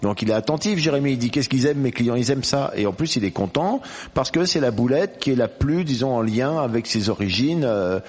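A male host with a slightly husky, average-pitched voice. He rambles and teases as he chats casually with a guest cook. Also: animated, fairly quick, a little mellow. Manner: teasing, rambling, playful. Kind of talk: casual, joking chat. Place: indoors, in his own home kitchen.

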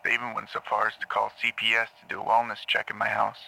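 Troubled male patient on a therapy tape: lowish, creaky, piercingly edged, halting confessional delivery for audio drama. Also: completely flat delivery, sharp tone, full character voice, poor audio. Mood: bitter regret. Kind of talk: audio drama monologue on taped therapy session. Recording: old cassette tape playback.

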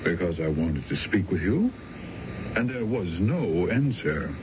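Low-voiced, insistent middle-aged man. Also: very animated, unhurried. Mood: uneasy, confronting. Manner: earnest, insistent. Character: stern, loyal middle-aged best friend, moralising. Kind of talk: 1970s radio drama acting. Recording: dull, noisy old radio broadcast.

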